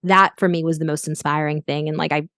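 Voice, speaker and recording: A woman's voice: deep voice, steady tone, quick pace, a bit bright, clear, resonant tone, no room sound, studio quality. A young adult woman, a life coach as a podcast guest, talking earnestly and reflectively with frequent restarts. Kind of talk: podcast interview.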